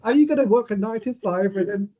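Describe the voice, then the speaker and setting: Husky man. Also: sits high, fast. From Hong Kong. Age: 40s. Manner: relaxed, wry, conversational. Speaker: podcast guest. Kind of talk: casual chat. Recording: dull, muffled podcast audio.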